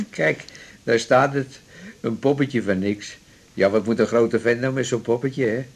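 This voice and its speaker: A talkative, plain-spoken male patient in a radio play. He is blunt but generous, with a mid-pitched voice that rises and dips freely. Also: expressive, unhurried, slightly rough. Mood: grumbling. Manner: blunt, colloquial, jovial. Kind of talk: naturalistic radio drama acting.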